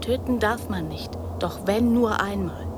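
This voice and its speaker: A woman's voice: mid-range voice, measured pace, background noise, close to an everyday voice. An actress in a German radio drama playing a dreamy, naive woman in love who muses in short, childlike phrases.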